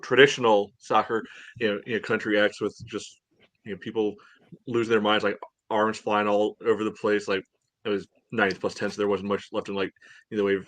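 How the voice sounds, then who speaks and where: A man with a fairly low voice co-hosts a soccer fan podcast. He speaks in halting, meandering phrases, and the sound is dry and close. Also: a bit bright, dry recording. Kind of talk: casual match discussion.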